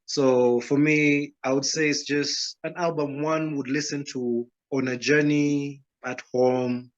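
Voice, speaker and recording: A man, a guest musician answering interview questions in a calm, measured, unhurried way. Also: mid-range voice. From Uganda, Rwandan roots. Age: 30s. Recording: dull lo-fi sound.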